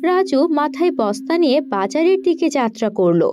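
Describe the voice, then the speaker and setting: A woman's voice: sits high, very animated, normal speed, over music. A woman narrating a scripted children's story for a cartoon.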